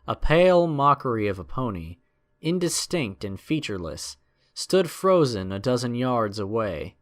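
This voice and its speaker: A man's voice: medium pitch, very animated, moderate pace. A man narrating a scripted adventure story in a dramatic storytelling style.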